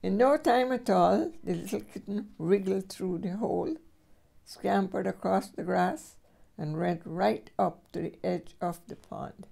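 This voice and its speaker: An older woman reading a children's storybook aloud as a great-grandmother, with expressive storyteller phrasing. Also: mid-range voice, a little slow, gravelly.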